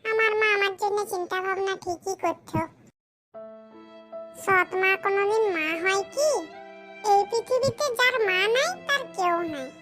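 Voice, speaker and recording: A performer voicing a crude, self-pitying village boy who grumbles in rustic slang in a comedy cartoon, with a pitched-up voice. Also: measured pace, over music. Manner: muttering complaints in rustic slang.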